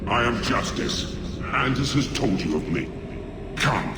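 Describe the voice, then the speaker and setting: An actor playing a stern, righteous spirit in a video game, speaking gravely and formally with an echoing effect. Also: male voice, mid-range voice, expressive, measured pace. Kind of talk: video game cutscene acting. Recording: very noisy, echoing game capture.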